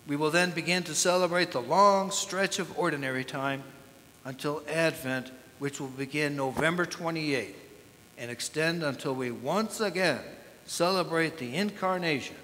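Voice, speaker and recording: An older man, a priest with a hoarse voice, announcing the church calendar in a measured, plain way. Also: a little high, pushed and bright. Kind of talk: liturgical announcement. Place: large church sanctuary. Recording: long church reverb, faint noise.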